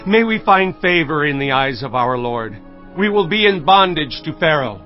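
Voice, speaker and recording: An actor playing a starving Egyptian commoner, earnest and imploring, in a dramatized audio Bible. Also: male voice, on the high side, lively intonation, moderate pace, faint music behind, close to an everyday voice. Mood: grateful submission. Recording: dull lo-fi audio.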